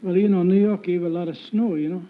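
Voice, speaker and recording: A man's voice: high voice, fairly even intonation, fast talker. An older Italian-born man with a strong Italian accent and broken English, a game show contestant insisting on his points at a stand mic in a television studio. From Italy. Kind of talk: unscripted chat. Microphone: stand mic on stage. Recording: dull 1950s TV sound with hiss.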